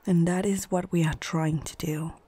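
A woman playing a stern, proud female orc chieftain in a whispered ASMR fantasy roleplay, speaking in short, measured phrases with a husky, breathy voice. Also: lowish voice, steady tone, warm tone. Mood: grim, threatening.

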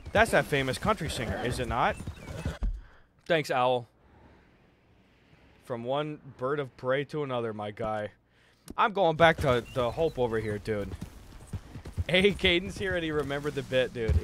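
A man's voice: medium pitch, animated, moderate pace, long pauses, fairly bright tone, a little hiss or hum. A young adult man chatting casually on a gaming livestream, slangy, jokey and profane, with a slightly tired, worn-down voice. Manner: slangy, jokey, profane, often says "dude" and "bro". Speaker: livestream host. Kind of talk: casual gaming stream chat, reading out viewer messages. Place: indoor room at a streaming setup.

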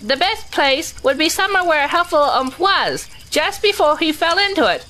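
A woman voicing a small, timid, eager young pig in an audio drama, fussy and quick to chime in. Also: high voice, big pitch swings, bright, forceful tone, a little hiss or hum, full character voice.

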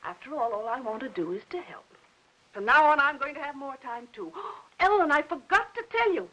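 An actress playing a fussy, chatty, easily offended middle-aged American aunt in a 1950s Hollywood film. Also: female voice, sits high, animated, fairly quick, sharp tone, dull audio. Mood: chatty concern. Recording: hissy old 1950s film soundtrack.

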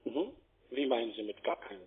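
Husky phone salesman, muffled line. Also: medium pitch, lively intonation, brisk. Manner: wordy, repetitive, insistent. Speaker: telephone sales agent. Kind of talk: cold-call sales pitch. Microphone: telephone.

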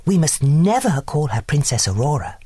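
A woman voicing gentle, protective good fairies from a storybook in a singsong, deliberate manner. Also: low voice, very animated, slightly rough, dry recording, exaggerated voice. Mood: secretive, firm. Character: gentle good fairy godmothers, bright and protective. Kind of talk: storybook character reading.

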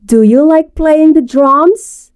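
A woman playing a teacher who asks her pupils clear, encouraging questions in a scripted textbook dialogue. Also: high-pitched, takes it slowly.

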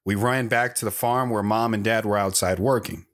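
Low-voiced wandering storyteller, acted by a man. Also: fairly even intonation, moderate pace, a bit bright, natural voice. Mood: reflective. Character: wandering man recounting his hard life. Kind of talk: dramatic first-person storytelling.

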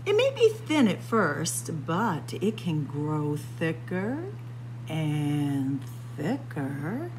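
A woman hosting a story time, reading a picture book to young children in a sing-song way with drawn-out phrases and dramatic pauses. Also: deep voice, big pitch swings, noisy background. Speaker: library story time host. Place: indoors among library bookshelves.

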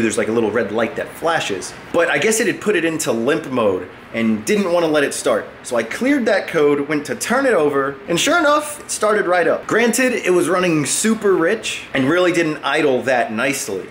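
A man in his twenties, sick and sounding a bit deeper than usual, chatting upbeat to a handheld camera in his home garage. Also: slight background noise. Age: 20s. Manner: chatty and upbeat, joking with a friend. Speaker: car vlogger. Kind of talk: casual vlog talk to camera. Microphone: handheld camera close to face.

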